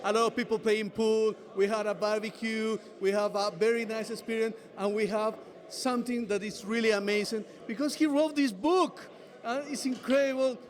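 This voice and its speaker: A man hosting a casual interview, speaking haltingly, often repeating words, with non-native phrasing. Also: high voice, steady tone. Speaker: interviewer host.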